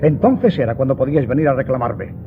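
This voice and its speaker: A male actor as a callous royalist magistrate in an audio drama. He speaks at a medium pitch with dismissive contempt, over strong music on a dull recording. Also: expressive, fast talker, close to an everyday voice. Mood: scornful, dismissive. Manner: scornful, dismissive. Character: callous, contemptuous royalist prosecutor, sneering at petitioners. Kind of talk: radio drama acting. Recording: muffled narrow-band audio drama recording. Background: loud music.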